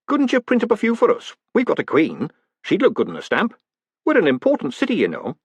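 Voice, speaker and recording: Man voicing an eager, high-pitched little provincial mayor. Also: huge pitch swings, brisk, breathy edge, no echo, lo-fi sound, exaggerated voice. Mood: hesitant, wheedling. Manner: folksy, deferential, repetitive colloquial grammar. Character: short, bird-like provincial mayor, nervous, eager, chummy tradesman.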